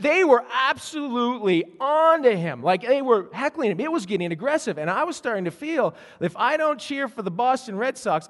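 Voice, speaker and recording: A man preaches from the pulpit, his voice sitting high for a man. He tells a story in an animated way, often stumbling and restarting. The sound rings with long reverb, as in a large church hall. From Canada. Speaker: pastor. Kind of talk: sermon.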